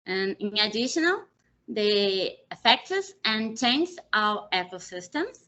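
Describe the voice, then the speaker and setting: Slow Brazilian woman on a video call. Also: mid-range voice, very animated, bright, forceful tone. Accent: Brazilian Portuguese. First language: Portuguese. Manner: slow, halting non-native English with restarts. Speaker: presenter, PhD student. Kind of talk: webinar presentation. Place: small indoor room. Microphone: video call, laptop mic. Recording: muffled video call audio.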